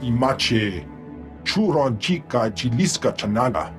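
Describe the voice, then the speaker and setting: A man's voice: medium pitch, very animated, measured pace, over music. A man voicing a stern alien Jedi master in a video game, speaking clipped syllables of an invented alien tongue. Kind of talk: video game cutscene acting.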